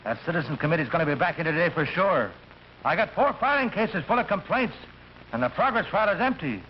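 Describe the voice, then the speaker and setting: An actor with an American accent playing a demanding police chief under pressure, blustering and impatient, in old-time radio drama style. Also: male voice, fairly high pitch, sing-song, quick pace. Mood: irate, pressuring. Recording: dull, noisy old radio recording.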